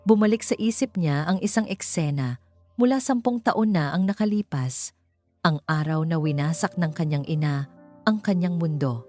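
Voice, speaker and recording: A Filipino woman tells a romantic drama as a scripted story. Her voice sits fairly low and she delivers it with measured drama, with soft music playing behind her. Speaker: storyteller, narrator. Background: background music.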